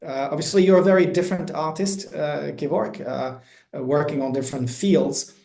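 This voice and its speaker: A man's voice: fairly high pitch, moderate pace, gravelly, darkish tone, echoey room. A man hosting a webinar who talks hesitantly, stumbling and restarting as he introduces his guests. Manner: hesitant, many fillers and restarts. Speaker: host. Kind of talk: moderating a webinar.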